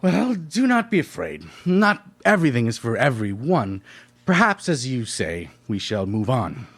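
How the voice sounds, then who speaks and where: A man's voice: mid-range voice, very animated, measured pace, slightly rough, heavily acted. An actor playing a pompous, thin-skinned male painter with florid, haughty diction in a live radio play. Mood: haughty, affronted.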